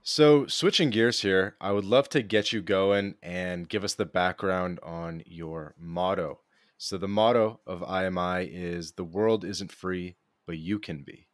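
A man's voice: low voice, unhurried, no echo, studio quality. A man hosting a podcast, friendly, steering the conversation to new topics. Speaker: podcast host. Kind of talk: casual interview.

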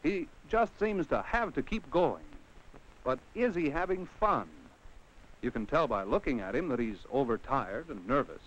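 Male narrator, American, mid-range pitch, highly animated, 1950 classroom-film style, slightly muffled vintage sound. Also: moderate pace. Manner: addresses the child on screen directly with rhetorical questions. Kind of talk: 1950s educational film scripted narration.

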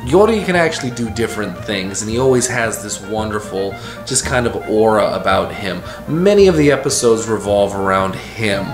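A man in his thirties talks straight to camera from a couch in his living room, an eager, lively storyteller. The room sounds roomy, and clear music plays under his voice. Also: on the low side, normal speed, hardly any pauses. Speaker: video host. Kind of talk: casual explainer vlog.

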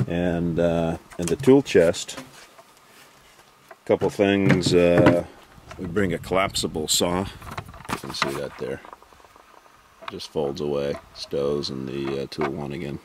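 A man in his forties at an outdoor lakeside campsite, casually walking through his camping gear in a relaxed, list-like way with lots of "uh" and "you know". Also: low voice, takes it slowly, long pauses, heavy background noise. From Maine, US. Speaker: canoe trip vlogger. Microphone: handheld camera.

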